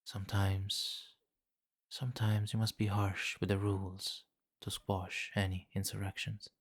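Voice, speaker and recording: A man playing a suave, commanding, centuries-old vampire king in an ASMR fantasy roleplay, speaking formally and deliberately with quiet menace. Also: lowish voice, dry recording, studio quality. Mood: brooding, stern. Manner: formal, deliberate, softly imperious.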